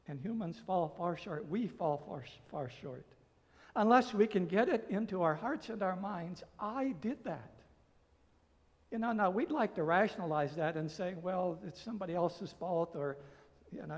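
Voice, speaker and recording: An American man in his sixties preaching a sermon as a pastor, earnest and emphatic, phrasing deliberately with pauses. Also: sits high. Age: 60s. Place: church sanctuary, at a pulpit. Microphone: gooseneck pulpit microphone. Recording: reverberant church hall.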